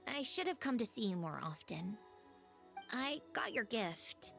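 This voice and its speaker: A woman voicing a reserved female artificial being in a video game, measured and tender in her delivery. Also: medium pitch, big pitch swings, creaky, fairly bright tone, exaggerated voice. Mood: solemn, tender. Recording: music behind the voice.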